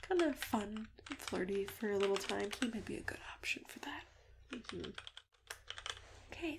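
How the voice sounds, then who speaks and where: Female ASMR performer as a friendly matchmaker: hushed, creaky, reassuring, slipping into whispers, keyboard clicks and noticeable hiss behind. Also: mid-range voice. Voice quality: soft, breathy, often dropping to a whisper. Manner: gentle, chatty, hesitant, reassuring. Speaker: ASMR host playing a matchmaker. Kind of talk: ASMR roleplay, soft-spoken with whispering. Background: computer keyboard typing and clicks.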